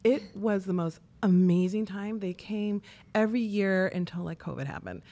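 A woman's voice: mid-range voice, normal speed, warm tone. A woman telling personal stories casually as a podcast guest, speaking into a close studio microphone. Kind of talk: casual storytelling in panel chat. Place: podcast studio with brick walls.

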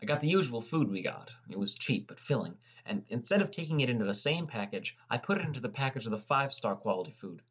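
A sullen teenage boy narrates his own story in an audiobook, voiced by a man. The voice has a middling pitch and the delivery is scripted, as a defensive young narrator. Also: big pitch swings, fast talker, hardly any pauses, fairly bright tone, slightly rough. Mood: narrating.

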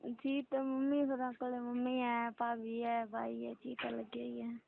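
High-voiced evasive young daughter-in-law on a phone acted by a woman. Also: completely flat delivery, normal speed, gravelly, slight background noise. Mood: placating. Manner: polite, placating, short hesitant replies. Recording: tinny narrow-band phone call.

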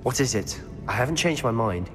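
A man's voice: medium pitch, animated, a little fast, a bit bright, soft background music, lightly acted. A man voicing a determined, loyal teenage wizard schoolboy with a British accent in a video game, earnest and well-spoken. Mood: suspicious.

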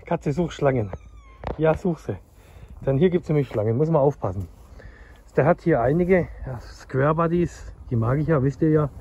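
A man's voice: fairly high pitch, normal speed, dark tone, lo-fi sound, slight background noise. Chatty man vlogging from a car. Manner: chatty, enthusiastic, addresses viewers directly. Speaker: classic car dealer. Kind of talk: casual vlog commentary. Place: outdoors in a junkyard and inside a car.

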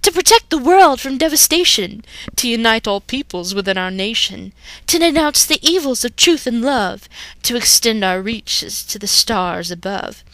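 A woman's voice: a little high, sing-song, moderate pace, fairly bright tone, no echo, low-quality recording. A woman voicing a trio of cartoon villains declaiming a dramatic motto. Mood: grandiose. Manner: theatrical, declamatory.

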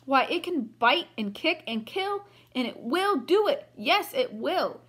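A woman's voice: sits high, sing-song, unhurried, fairly bright tone, voice pushed up, heavily acted. A woman voicing a frightened man in a rhyming picture book, speaking in an alarmed way. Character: frightened man fleeing a monster.

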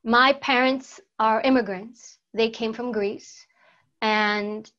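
New woman in her 30s, earnest interview guest, kinda high voice, a bit dull lo-fi audio. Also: fairly even intonation, slow, a bit bright. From United States. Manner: earnest, emphatic, repeats words for stress. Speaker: guest, former secret service agent. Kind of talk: conversational interview storytelling. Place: plain studio backdrop. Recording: slightly dull lo-fi sound.

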